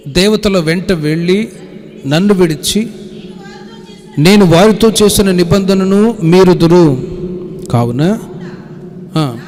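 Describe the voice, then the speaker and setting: A man's voice: high-pitched, a little mellow. A man preaching as a pastor, reading scripture and explaining it in a didactic, repetitive way. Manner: didactic, repeating phrases and citing verses. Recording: hall with long reverb.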